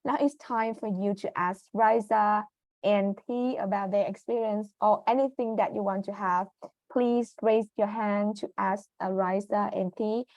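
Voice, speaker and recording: A woman's voice: medium pitch, steady tone, a little slow, darkish tone, dry recording. Polite woman moderating a webinar. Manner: polite and procedural, with some hesitant phrasing. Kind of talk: hosting a webinar Q&A.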